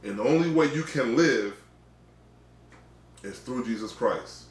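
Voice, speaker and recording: A man's voice: mid-range voice, lively intonation, a little slow, slight background noise. A middle-aged American man preaching an informal sermon in an exhorting way, repeating phrases for emphasis. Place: small indoor room. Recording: echoey, roomy sound.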